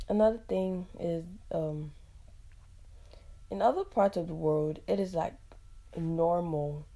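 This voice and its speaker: A young woman from Nigeria, hosting a casual solo podcast, chatty and animated, with frequent laughs and fillers. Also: medium pitch. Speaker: podcast host.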